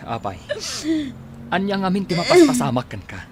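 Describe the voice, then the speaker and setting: A man acting in an Ilocano radio drama as a blunt man who scolds in a brusque, rapid-fire way. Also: high voice, wildly swooping pitch, crowd noise. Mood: gruff, insistent. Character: blunt, scolding man reprimanding a drunken woman.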